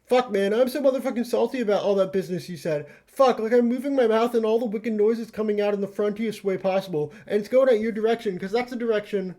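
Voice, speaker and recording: A man's voice: high-pitched, fast, hardly any pauses, warm tone, natural voice. A man voicing a laid-back clownish troll bro with a slangy, meandering, sweary way of talking. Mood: rambling.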